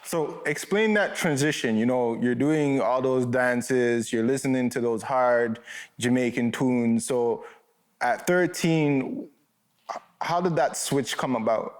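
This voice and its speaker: A man's voice: mid-range voice, slow. A young man from Canada hosting an interview, asking questions casually with lots of "you know" and affirming replies. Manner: relaxed, filler-heavy, affirming "facts". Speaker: interview host.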